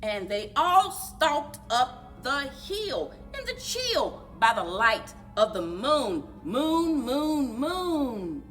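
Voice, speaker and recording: A woman reading a children's storybook aloud in an animated, rhythmic singsong for a library story time. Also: sits high, drawn-out words, few pauses, faint music behind. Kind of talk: children's storybook read-aloud with chanted refrains.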